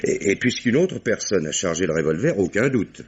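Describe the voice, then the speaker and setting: A low, expressive male voice questions witnesses with calm authority, a probing police commissioner. His delivery is measured and deliberate. The sound is dull with a faint hiss, like an old radio broadcast. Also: husky, heavily acted. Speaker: police inspector. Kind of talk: 1950s radio drama acting.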